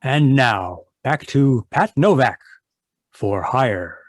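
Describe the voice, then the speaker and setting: A man's voice: mid-range voice, lively intonation, slow pace, no room sound. A man acting and announcing in the manner of an old-time radio drama.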